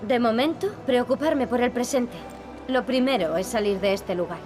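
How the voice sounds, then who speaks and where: An actress with an accent from Spain playing a determined, earnest young princess with dry wit for a video game. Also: female voice, a little high, normal speed, natural voice. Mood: grave, resolute. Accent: Spanish from Spain. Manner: earnest, resolute. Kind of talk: dubbed adventure game dialogue. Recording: music behind the voice.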